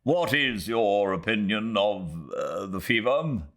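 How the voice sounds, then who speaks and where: A man's voice: on the low side, lively intonation, slow pace, fairly bright tone, full character voice. A British man voicing a grave, courteous Victorian country doctor in an audiobook, speaking in an earnest, formal, hesitant way. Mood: cautious inquiry.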